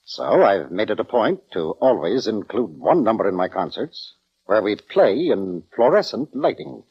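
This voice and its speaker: An actor playing a pompous, self-important orchestra conductor in 1940s radio drama style, formal, theatrical and easily affronted. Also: male voice, on the low side, sing-song, normal speed, breathy edge, full character voice. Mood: proud, lofty. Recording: dull vintage radio recording.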